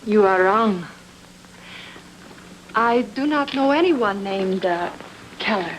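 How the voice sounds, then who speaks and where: A woman's voice: medium pitch, animated, slight background noise, poor audio. A woman playing a cool, guarded former nightclub entertainer in a noir radio drama, speaking formally and evasively. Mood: cool, defiant. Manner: formal, measured, evasive phrasing.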